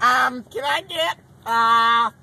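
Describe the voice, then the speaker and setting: A young man talking casually and haltingly, stalling as he orders like a customer from inside a car. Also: extremely high pitch, creaky, sharp tone. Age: young adult. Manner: hesitant, stalling. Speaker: prankster customer at a drive-thru. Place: inside a car at a drive-thru. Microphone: handheld camera inside the car.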